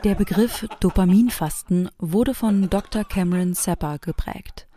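A woman's voice: mid-range voice, measured pace, dark tone. A woman reading scripted narration as the narrator of a homemade audio play.

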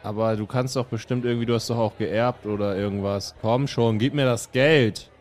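A man's voice: fairly low pitch, fairly even intonation, moderate pace, a little mellow, lightly acted. A man playing a pushy, impatient car salesman who presses his customer in an improvised comedy radio play. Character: pushy, impatient, slick male car dealer. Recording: music behind the voice.

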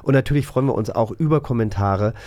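A man's voice: medium pitch, quick pace, mellow, no echo. A man co-hosting a podcast, chatting casually in a studio. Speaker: podcast co-host. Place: dry studio.

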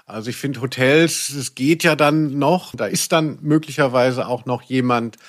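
A man's voice: medium pitch, moderate pace. A man co-hosting a casual comedic podcast, talking with ironic, theatrical exaggeration. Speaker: podcast co-host. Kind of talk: casual comedic podcast chat about audio dramas.

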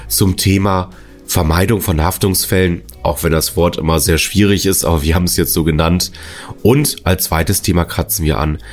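A man from northern Germany hosting a podcast in a chatty, friendly way with some joking. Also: deep voice. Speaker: podcast host.

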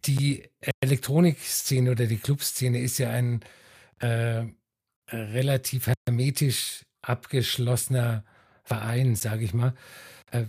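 A man hosting a podcast interview, asking measured questions with hesitant fillers. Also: medium pitch, darkish tone, husky.

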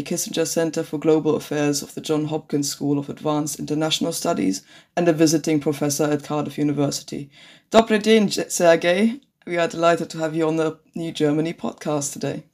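A man presents an interview podcast in formal, carefully phrased sentences. His voice sits a little on the high side for a male speaker. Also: steady tone, brisk, a little mellow. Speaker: podcast host. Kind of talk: scripted introduction and interview questions.

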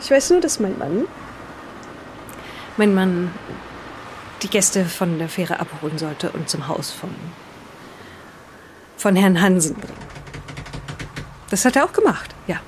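A woman's voice: lowish voice, expressive, unhurried, long pauses, a little mellow. A woman playing a guarded, weary lighthouse keeper's wife in an improvised audio drama, speaking hesitantly. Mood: hesitant, confiding. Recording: clearly noisy background, vehicle-like ambience.

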